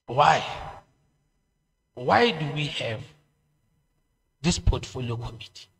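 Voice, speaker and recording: A community member at a podium mic, pressing pointed questions and repeating words for emphasis. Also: male voice, mid-range voice, animated, takes it slowly, creaky, a bit bright. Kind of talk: public hearing comment. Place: community hall. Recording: long hall reverb.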